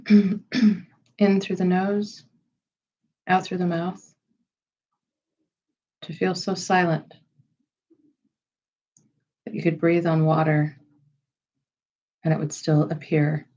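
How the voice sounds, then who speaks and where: A woman leading a guided meditation in a slow, soothing style, with measured, unhurried phrases and pauses. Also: on the low side, flat delivery, dark tone, dull audio.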